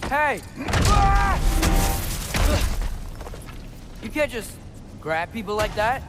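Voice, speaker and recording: A man's voice: extremely high pitch, big pitch swings, a little slow, heavy background noise, full character voice. A young actor playing an earnest, plucky, slightly awkward teenage boy in a video game. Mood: indignant. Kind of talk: video game cinematic acting.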